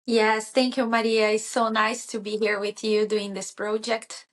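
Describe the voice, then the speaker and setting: A woman co-hosts a podcast in English. Her fairly high voice sounds warm and earnest, and she laughs easily. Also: flat delivery, measured pace. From Brazil. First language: Portuguese. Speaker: podcast co-host. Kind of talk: casual podcast chat.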